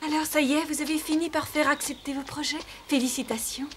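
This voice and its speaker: Brief: actress as courteous cartoon noblewoman, high voice, formal phrasing, faint background noise. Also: steady tone, fairly quick, close to an everyday voice. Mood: gracious, warm. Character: gracious, concerned noblewoman ally of an explorer. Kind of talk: French animated series dubbing.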